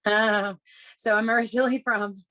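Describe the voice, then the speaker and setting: A woman's voice: medium pitch, fairly even intonation, moderate pace, bright, forceful tone. A woman in her thirties from Massachusetts, a bookstore owner answering interview questions on a video call in a casual, upbeat way with many fillers. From Massachusetts, USA. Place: home room.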